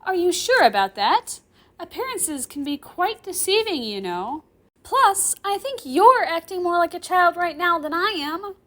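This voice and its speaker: A woman's voice: very high voice, sing-song, normal speed, few pauses, pushed and bright, in a large hall, voice pushed up, exaggerated voice. A woman voicing a smug, teasing, defiant demon schoolgirl in a cheerful, taunting way for a story.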